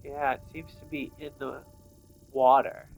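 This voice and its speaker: Slow-talking man thinking aloud over game. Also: medium pitch, flat delivery, creaky. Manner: thinking aloud, muttering to himself. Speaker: gamer commentating on own playthrough. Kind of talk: casual let's-play commentary. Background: video game music and sound effects.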